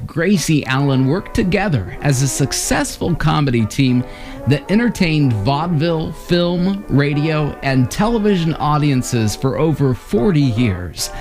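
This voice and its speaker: A man's voice: on the high side, a little mellow. A man reading a scripted introduction as the narrator of a vintage radio series. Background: music.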